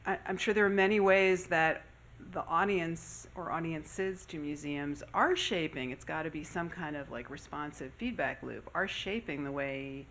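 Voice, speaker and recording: This is an audience member asking a question haltingly, with many fillers. The voice sits at a middle pitch, with faint room noise behind it. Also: fairly bright tone.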